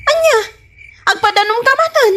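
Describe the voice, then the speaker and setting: An actress with an Ilocano accent playing a nagging, needy, affectionate village wife in a radio comedy drama. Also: female voice, extremely high pitch, lively intonation, moderate pace, a bit bright.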